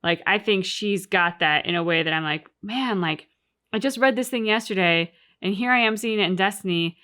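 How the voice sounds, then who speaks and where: A woman's voice: mid-range voice, normal speed, fairly bright tone. Female reaction host, conversational and earnest, thoughtful casual discussion, natural mid-range voice. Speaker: host of a game reaction channel. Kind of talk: casual discussion of a game's story.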